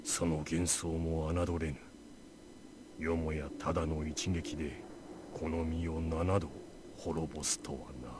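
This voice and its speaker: A man playing a dying giant warrior in a Japanese visual novel, speaking slowly and solemnly without emotion. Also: extremely low pitch, little pitch movement, a little mellow, a little hiss or hum. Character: dying undefeatable male giant warrior, grave and calm.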